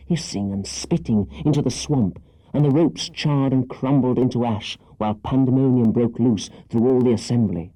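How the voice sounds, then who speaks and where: Dark, husky male storyteller. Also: medium pitch, no echo, poor audio. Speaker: audiobook narrator. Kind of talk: dramatic scripted children's story narration.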